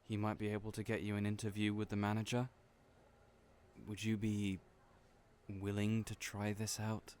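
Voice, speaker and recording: Soft-spoken, hesitant low-voiced man. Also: completely flat delivery, normal speed, long pauses, slight background noise. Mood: gentle. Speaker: audio roleplay voice actor. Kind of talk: scripted audio roleplay.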